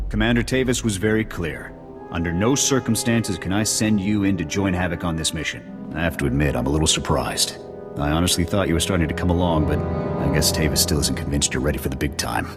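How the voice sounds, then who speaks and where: An actor playing a gruff, authoritative army lieutenant giving orders in a video game cutscene. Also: male voice, low voice, natural voice. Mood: matter-of-fact. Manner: authoritative military briefing.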